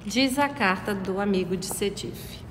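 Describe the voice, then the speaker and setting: A woman's voice: medium pitch, a little slow, a little hiss or hum. A woman in a study group reading a text aloud and stopping to explain it. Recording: echoey room with long reverb.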